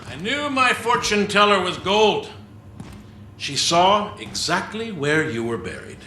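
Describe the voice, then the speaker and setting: A flamboyant, gloating video game warlord. His high voice swoops theatrically from word to word, slow and teasing, in an echoey space. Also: very animated, bright, forceful tone, heavily acted. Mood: gloating, seductive. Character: flamboyant, gloating male warlord tempting an ally. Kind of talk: video game cutscene acting. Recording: echoey space with faint background noise.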